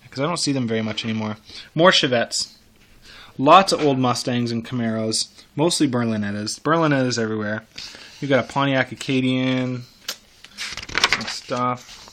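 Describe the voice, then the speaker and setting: Man with a bright, forceful voice, browsing casually. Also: medium pitch, a little hiss or hum. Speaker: car enthusiast vlogger. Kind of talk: casual off-the-cuff commentary while browsing classified ads.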